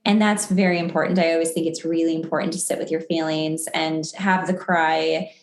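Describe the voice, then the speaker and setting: A woman's voice: deep voice, little pitch movement, a little fast. A woman hosting a casual podcast, chatty and peppering her talk with "like" as she voices her own thoughts. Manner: chatty, uses "like" constantly, acts out inner thoughts. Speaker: podcast host. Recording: roomy, echoey sound.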